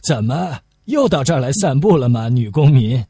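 A man's voice: medium pitch, sing-song, moderate pace, warm tone, slightly rough, dry recording, studio quality. A man voicing a nosy, jocular little wood sawyer in an audiobook, familiar and teasing.

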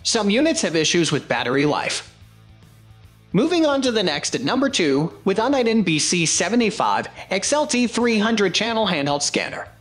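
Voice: mid-range voice, sing-song, normal speed, soft background music. A narrator reading a scripted product review in a presentational style.

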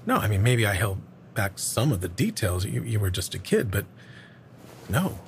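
A man acts out an older small-town local in an audio drama. His voice sits low and he tells things haltingly, in earnest. There is noticeable ambience behind him. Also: big pitch swings. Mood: grave, defensive. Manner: hesitant, halting storytelling. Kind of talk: naturalistic audio drama acting. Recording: clear background ambience noise.

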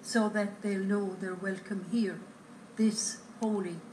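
A woman recites a poem at a slow, steady pace with a mid-range voice. The theatre gives her words a roomy echo. A faint hiss sits beneath the recording. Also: mid-range voice. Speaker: poetry reader. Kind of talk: reading a poem aloud. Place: theatre stage. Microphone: far camera in the audience.

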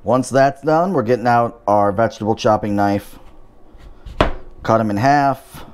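A man's voice: medium pitch, measured pace, darkish tone, smooth. Wry man hosting a cooking show. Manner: dry, wry asides while cooking. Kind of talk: casual cooking demonstration.

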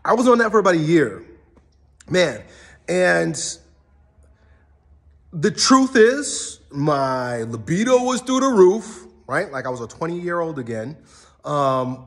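A man's voice: a little high, big pitch swings, a little slow, fairly bright tone. A man in his forties talking casually and candidly to his viewers, blunt and loose, with casual swearing. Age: 40s. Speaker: strength coach and channel host. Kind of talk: casual talk to camera.

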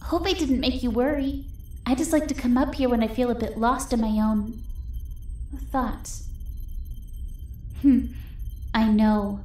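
A woman voicing a gentle, hopeful young girl in an animated comic dub, earnest, soft-spoken and wistful. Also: a little high, unhurried, long pauses, natural voice. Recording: echoey voice under background music.

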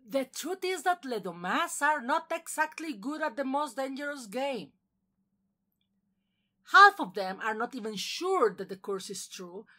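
A woman's voice: on the high side, sharp tone. A woman delivering scripted film commentary as a video essayist, with emphatic delivery and wry asides.

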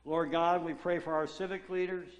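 A male pastor leading a solemn, measured congregational prayer in a large church sanctuary. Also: a little high, little pitch movement. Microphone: far camera at back of sanctuary. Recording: echoey sanctuary from far off.

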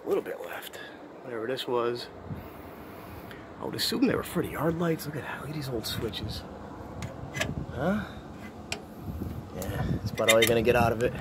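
A man's voice: medium pitch, moderate pace, pauses often, background noise. Man exploring outdoors on handheld camera. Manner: relaxed, marvels aloud, talks to viewers as he walks. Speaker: urban explorer vlogger. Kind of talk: casual walking tour narration. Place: outdoors in overgrown abandoned rail yard.

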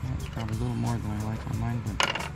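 A man in his thirties giving a relaxed, chatty step-by-step demonstration outdoors at a campsite under a canopy tent. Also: on the low side, quick pace, creaky. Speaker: vlogger. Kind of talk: casual how-to demo. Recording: background music under voice. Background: music.

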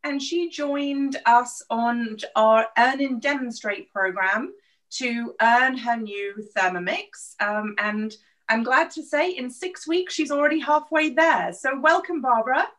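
A middle-aged woman speaks unhurriedly in a fairly high voice, chatty and full of fillers and restarts. She hosts a video-call class from her home kitchen, standing back from the laptop mic, so the voice sounds a little distant. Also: measured pace, fairly bright tone. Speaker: host and team leader. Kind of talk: live video call cooking class introduction. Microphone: laptop or phone camera at a distance.

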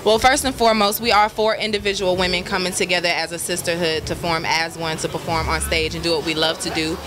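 A woman's voice: fairly low pitch, steady tone, fast talker, noisy background. A woman in her twenties from a girl group, speaking confidently in an interview. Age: 20s. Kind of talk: casual interview. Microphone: interviewer's handheld mic.

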